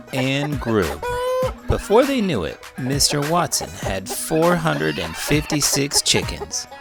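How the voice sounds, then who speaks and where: A man reading a children's picture book aloud with great expression. His voice swoops dramatically up and down throughout, as music plays steadily in the background. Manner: expressive, with wide swings in pitch and volume. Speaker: children's book reader and teacher. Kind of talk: animated storybook read-aloud.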